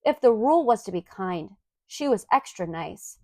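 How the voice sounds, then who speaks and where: A woman reads a children's story aloud in an expressive, clearly enunciated storytelling manner. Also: medium pitch, moderate pace, dry recording, clean studio sound. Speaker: storybook reader.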